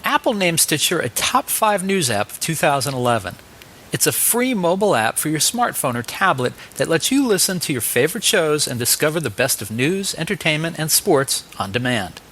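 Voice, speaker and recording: An American man works as a podcast host in interviews and sponsor reads. His voice sits high for a man, with a bright, forward edge. The delivery moves around a lot in pitch. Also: very animated, normal speed, slight background noise.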